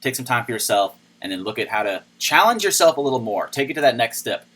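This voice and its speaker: A man with a low, mid-pitched voice talks through the day's news in a loose, chatty commentary, and he breaks into playful jokes now and then. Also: sing-song, quick pace, a bit bright. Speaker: crypto news video host. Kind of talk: casual commentary on news articles.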